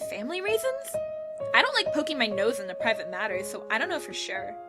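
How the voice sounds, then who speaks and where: A woman playing a bossy, suspicious, hot-tempered high school club leader in an amateur anime audio drama, blunt and demanding. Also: huge pitch swings, fairly quick. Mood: skeptical. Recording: loud music behind the voice. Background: loud music.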